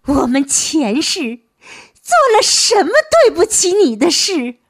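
A woman's voice: high voice, sing-song, slow, exaggerated voice. A woman playing a grief-stricken mother who pleads with her daughter through tears in a radio drama. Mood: pleading, tearful. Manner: pleading, tearful. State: crying.

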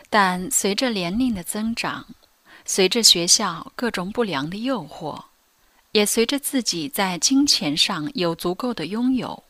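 A woman's voice: on the low side, pushed and bright, no echo, exaggerated voice. A young woman narrating a radio drama as a former teen delinquent, in a subdued, reflective way that sometimes drops to a whisper. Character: former teen delinquent girl recalling her past.

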